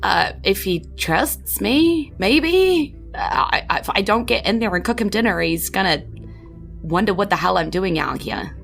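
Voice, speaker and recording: A woman's voice: medium pitch, animated, a little slow, creaky, a bit bright, faint music behind. A woman voicing an anxious, meek farm woman in a tabletop game, hesitant, stammering and apologetic. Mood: nervous.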